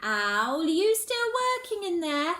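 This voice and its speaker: A woman's voice: extremely high pitch, big pitch swings, slow, sharp tone, distant mic, pitched above the performer's own voice, exaggerated voice. A woman voicing a busy, caring mother who checks on her daughter. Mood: solicitous. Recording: roomy echo, set back from mic.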